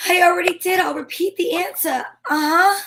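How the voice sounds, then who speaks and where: A woman's voice: extremely high pitch, steady tone, moderate pace, fairly bright tone. A woman playing a plucky, wisecracking female private eye in an old-time radio drama, telling her story dramatically. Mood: coy, indignant. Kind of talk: old-time radio detective acting, first-person narration.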